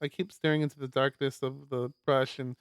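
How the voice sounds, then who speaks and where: A man streaming a live read-aloud of a visual novel, expressive, with stumbles and casual asides. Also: mid-range voice, brisk, smooth, dry recording. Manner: expressive, stumbles over words while reading.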